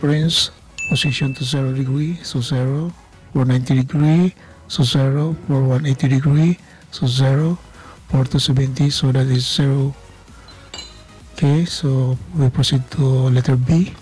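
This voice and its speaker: A man giving step-by-step instructional narration in short, halting phrases. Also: mid-range voice, steady tone, low-quality recording. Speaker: machining instructor. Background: background music.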